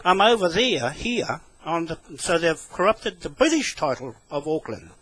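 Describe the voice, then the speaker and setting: A man with a New Zealand accent talking on the telephone in a halting, repetitive, rambling way. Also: a little high, big pitch swings, a bit bright. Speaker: caller with a complaint. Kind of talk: phone conversation.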